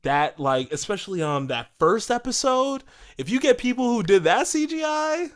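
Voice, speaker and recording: A man's voice: extremely high pitch, big pitch swings, normal speed. A man chatting casually as a co-host on a podcast. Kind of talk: casual chat.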